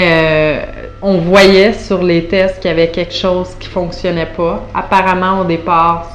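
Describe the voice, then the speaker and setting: Woman, 30s, Quebec French accent, slightly low voice, slow unscripted testimonial, hesitant with fillers, echoey room, faint noise behind. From Montreal, Canada. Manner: hesitant, many fillers and restarts. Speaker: patient giving a testimonial. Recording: roomy echo with faint background noise.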